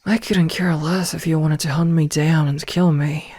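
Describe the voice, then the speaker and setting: A woman playing a possessive werewolf from a pack, smitten yet threatening, with deliberate, partly whispered delivery in an ASMR roleplay. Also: low voice, fairly even intonation, dark tone, no room sound. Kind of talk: ASMR roleplay audio drama. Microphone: close, dry microphone.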